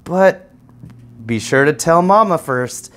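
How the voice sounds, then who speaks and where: A man's voice: a little high, expressive, slow pace, a bit bright, voice pushed up. A man voicing a cocky, know-it-all young boy bear cub in a children's storybook. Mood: instructive. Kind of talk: children's storybook read-aloud.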